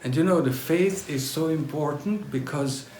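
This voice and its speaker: A man from northern Italy whose first language is German, giving a religious testimony to a pilgrim group in halting English full of fillers. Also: on the high side, darkish tone, roomy sound. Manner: halting, searching non-native English with fillers. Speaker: pilgrimage speaker. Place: gathering at a castle.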